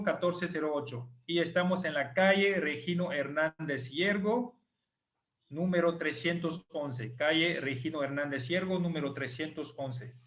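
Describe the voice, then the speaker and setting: A man's voice: fairly high pitch, a bit bright. Man speaking Spanish as a second language. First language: not Spanish. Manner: patient, repeats numbers slowly. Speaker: clinic doctor. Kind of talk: online group talk.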